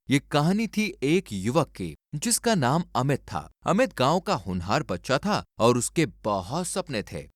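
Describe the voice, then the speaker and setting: A man's voice: medium pitch, no room sound, studio quality. A man reading a scripted moral story as a storyteller.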